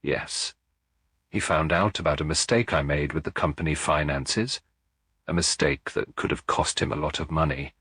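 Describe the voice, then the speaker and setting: A man voicing a remorseful, soft-spoken female employee in a story, pleading as she confesses. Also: rumbling low, fairly even intonation, normal speed, breathy edge, dry recording, clean studio sound, full character voice.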